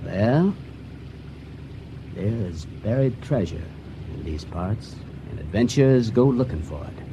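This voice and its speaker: An actor playing a folksy, slippery younger boat navigator in a radio drama, hearty and over-reassuring. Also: male voice, on the low side, animated, measured pace, dull audio, noisy background. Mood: guarded, reassuring.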